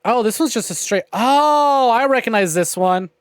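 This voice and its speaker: A man's voice: very high-pitched, lively intonation, normal speed, clean tone, dry recording, studio quality. A man giving casual, opinionated livestream commentary on a visual novel game, with wry, profane grumbling. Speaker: game streamer.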